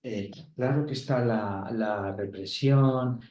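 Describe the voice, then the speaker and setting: Thoughtful man, mellow voice, echoey room. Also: medium pitch, takes it slowly. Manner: thoughtful, hesitant, searching for words. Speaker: panelist, Gestalt therapist. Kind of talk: round-table discussion. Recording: roomy, dull, lo-fi recording.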